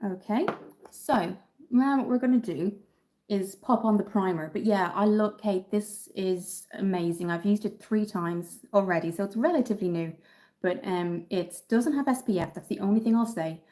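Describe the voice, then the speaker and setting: Woman livestreaming from home on her phone, mellow warm tone, chatty with lots of ums. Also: medium pitch, moderate pace. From Ireland, Dublin. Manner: chatty, upbeat, many ums, reads out viewer comments. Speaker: beauty livestreamer. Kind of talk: casual livestream chat reading comments. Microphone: phone camera.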